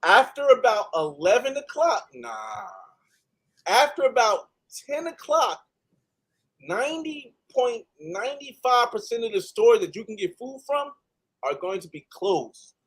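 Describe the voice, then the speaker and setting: Male panelist from the United States, high voice, emphatic casual talk with repeated phrases for stress. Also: sing-song, normal speed, breathy edge, rough recording.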